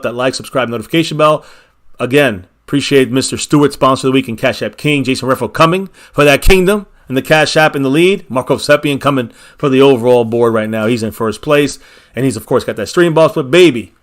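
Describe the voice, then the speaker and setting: A man hosting a casual fan livestream from a small home bar, thanking viewers by name and repeating his catchphrases with hype. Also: mid-range voice. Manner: hyped, repeats catchphrases, calls viewers baby. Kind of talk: casual livestream sign-off with shout-outs.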